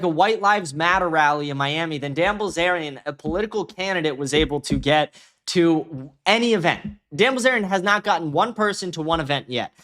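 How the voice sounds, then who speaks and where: A man's voice: high voice, fairly bright tone. A man ranting in an unscripted livestream commentary, blunt, scornful and profane, often repeating phrases for emphasis. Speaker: host of a political commentary stream.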